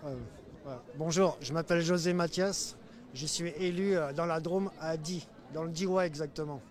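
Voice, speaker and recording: A man's voice: on the high side, fairly even intonation, normal speed. A man who is a local elected official, from France, answering a street interview casually with many fillers. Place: outdoors in a city.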